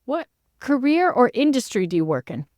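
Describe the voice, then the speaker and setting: A woman's voice: a little high, very animated, normal speed, a little mellow, no echo. Woman hosting a conversational interview, dry sound. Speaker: podcast host and interviewer.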